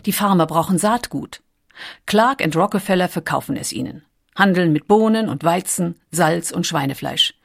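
A woman's voice: fairly low pitch, expressive, normal speed, gravelly. A woman reads scripted documentary narration as an audiobook narrator.

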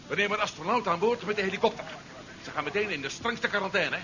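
Persuasive space mission adviser, high sharp voice, explanatory, radio drama, dull old recording. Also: male voice, expressive, quick pace. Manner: argumentative, explanatory. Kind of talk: 1970s science-fiction radio play acting.

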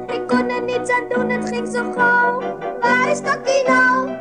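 Small child, very high voice, lively and naive, half-singing, loud guitar music behind, old cassette. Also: unhurried. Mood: pleading, singsong. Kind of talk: Dutch children's musical audio play, half sung.

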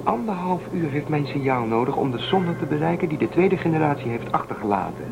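A man's voice: on the high side, animated, fairly quick, vocal fry, noisy background. A man playing a defiant, suspicious spaceship crewman in a radio play, urging and persuading. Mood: excited. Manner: urgent, persuasive, suspicious of authority.

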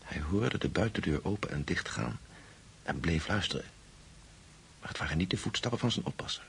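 A man's voice: low voice, lively intonation, a little fast, fairly bright tone, a little hiss or hum. An actor playing a grave man who narrates a story in the first person in a radio drama. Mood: grave, measured. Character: Pavel, grave male friend recounting a ghostly tale.

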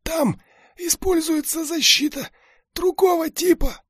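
A man voicing a skinny, frightened young man in an audiobook, pleading desperately in a strained, hoarse voice. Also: very high voice, unhurried, a bit bright, no echo, studio quality, pitched above the performer's own voice. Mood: strained panic. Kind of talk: audiobook character acting.

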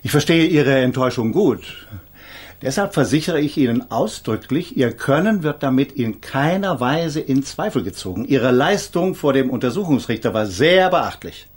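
An eminent, middle-aged defence lawyer in a radio crime play. The voice is husky and mid-pitched. He speaks formally, with smooth, condescending politeness. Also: expressive. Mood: courteous, condescending. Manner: formal, measured, patronising courtesy. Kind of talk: German radio crime drama acting.

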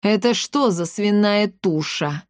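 A woman's voice: medium pitch, slow, dry recording, clean studio sound, close to an everyday voice. A woman voicing a tiny old mother in a storybook, domineering, scolding and theatrically outraged. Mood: spiteful.

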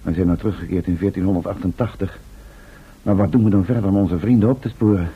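Concerned earnest searcher, rough husky voice, radio play, thin phone-like sound. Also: male voice, deep voice, steady tone, quick pace, heavily acted. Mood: worried. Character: worried, earnest man searching for lost friends. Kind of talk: radio drama acting. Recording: muffled, phone-like old radio recording.

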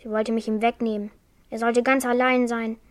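A woman's voice: a little high, level tone, normal speed, background noise, natural voice. A female performer playing a withdrawn, bitter young girl in a crime radio play, giving terse, guarded answers. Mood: bitter, hurt.

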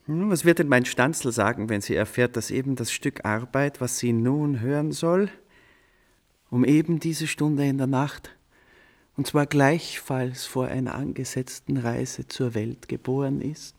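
A male actor plays an impulsive composer with a playful temper, in a German radio drama. He speaks at a middling pitch. His storytelling is animated and ornate, full of old-fashioned turns of phrase. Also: a little slow, close to an everyday voice. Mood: lively, wry. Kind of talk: German radio play acting.

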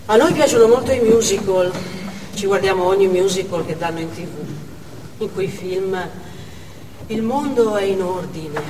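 A woman playing a devoted, excitable working mother in a chatty theatrical monologue. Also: medium pitch, unhurried, close to an everyday voice. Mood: chatty, fond. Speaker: stage actor. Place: indoor theatre stage, recorded from afar. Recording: distant, echoey hall with noticeable noise.

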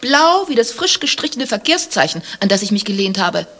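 An actress playing a haughty, sardonic wife accused of adultery in a satirical German radio play, mocking and theatrically indignant. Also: female voice, medium pitch, big pitch swings, a little fast, a bit bright. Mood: wry, evasive.

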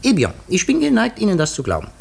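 An actor in a 1950s German radio play playing a shrewd, courteous foreign detective, deliberate and theatrical, dropping in French phrases. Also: male voice, high voice, wildly swooping pitch, creaky, heavily acted. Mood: stern, probing.

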